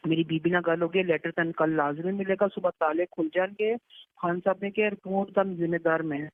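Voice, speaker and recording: A woman's voice: on the low side, steady tone, a little fast, dry recording. A narrow, muffled phone recording with no room sound carries a woman with a Pakistani Punjabi accent. She chats privately and casually, retelling exchanges in quoted speech. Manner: recounts talk by quoting it. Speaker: daughter on a phone call. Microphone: telephone.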